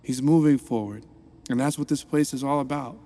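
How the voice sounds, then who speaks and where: An actor playing an earnest reformed supervillain turned counselor, speaking gently and encouragingly while narrating an audio drama. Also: male voice, mid-range voice, a little fast, close to an everyday voice.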